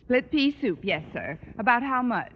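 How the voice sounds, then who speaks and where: An actress playing a wry, quick-witted schoolteacher with dry, wisecracking delivery in an old-time radio sitcom. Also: female voice, on the high side, big pitch swings. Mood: flustered. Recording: noisy, muffled old radio recording.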